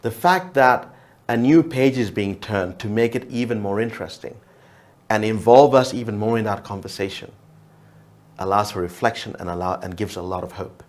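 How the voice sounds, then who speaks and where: A man's voice: on the low side, moderate pace. A man from Uganda whose first language is Luo, speaking reflectively as a TV talk show guest, often restarting and repeating words.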